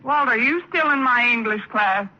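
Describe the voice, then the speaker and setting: An actress playing a quick-witted, long-suffering English teacher in a radio sitcom, with dry, sarcastic asides. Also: female voice, fairly high pitch, bright, forceful tone. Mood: dry, wry. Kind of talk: 1950s radio sitcom acting. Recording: dull, very noisy vintage radio recording.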